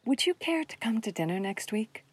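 A woman's voice: a little high, sing-song, normal speed, husky, no room sound. Eager neighbor woman, close and dry. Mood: hopeful. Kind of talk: radio play dialogue.

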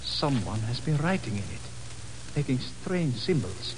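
Courteous, anxious colonel, 1950s radio style, animated delivery, slightly noisy vintage recording. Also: male voice, medium pitch, measured pace. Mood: measured, puzzled. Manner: formal, polite, precise wording. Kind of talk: 1950s radio drama acting.

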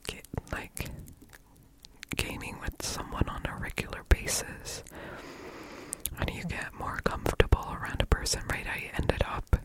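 German asmr person whispering super slow, american-ish english accent, kinda scratchy throat, rambly. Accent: American-leaning English. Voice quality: soft unvoiced whisper. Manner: unhurried, rambling with fillers. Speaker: ASMR creator.

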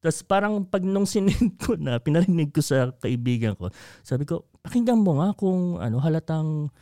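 A man's voice: a little high, big pitch swings, brisk, dark tone, dry recording, studio quality. A man from the Philippines with a Filipino accent, guest in a casual interview, telling a story in Tagalog mixed with English and acting out quoted voices. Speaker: guest, media company founder.

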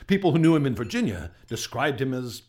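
An actor playing a troubled gentleman recounting frightening events in a radio drama, earnest and hesitant, stammering when unsettled. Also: medium pitch, big pitch swings, a little fast, lightly acted. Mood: grave. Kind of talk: radio drama acting, halting testimony.

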